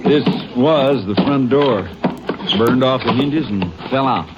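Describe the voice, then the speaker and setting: An actor playing a folksy small-town sheriff in a 1950s radio drama, with a drawling, plain-spoken manner that turns to barking orders. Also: male voice, mid-range voice, slow, very noisy, lightly acted. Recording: dull old radio broadcast.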